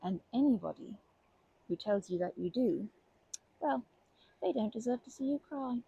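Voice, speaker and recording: A woman playing a kindly, protective grandma in an ASMR comfort roleplay, speaking gently and hesitantly with fond pet names. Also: fairly high pitch, animated, moderate pace, highs cut off, full character voice. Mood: soothing reassurance. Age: older. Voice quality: creaky, husky.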